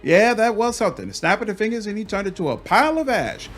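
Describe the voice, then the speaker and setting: An actor playing a jittery, wisecracking young rogue in a video game, excitable, flippant and hurried. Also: male voice, sits high, faint music behind. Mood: startled, flippant. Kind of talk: video game character acting.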